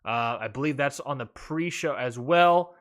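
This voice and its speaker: A man's voice: mid-range voice, measured pace, fairly bright tone. A man hosting a wrestling podcast, recapping matches in an enthusiastic, rambling way. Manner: enthusiastic, rambling with fillers and restarts. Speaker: podcast host.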